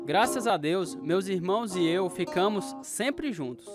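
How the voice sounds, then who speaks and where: An actor with a Brazilian accent playing a grown orphaned brother sadly recounting a hard childhood in a radio drama. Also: male voice, high voice, lively intonation, measured pace. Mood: wistful. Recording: guitar music behind the dialogue.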